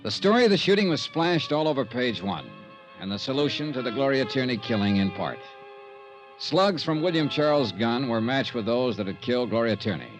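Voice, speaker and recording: An actor playing a wry, hard-boiled insurance investigator in a 1950s radio drama, narrating in a dry, clipped way. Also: male voice, mid-range voice, lively intonation, a little fast, close to an everyday voice, poor audio. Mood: wry, matter-of-fact. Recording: vintage radio recording with music behind.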